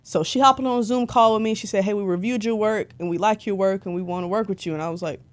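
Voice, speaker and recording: A woman's voice: medium pitch, quick pace. Chatty young woman telling stories. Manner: chatty, animated storytelling with lots of "like". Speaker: podcast guest, concert photographer. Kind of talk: casual interview chat.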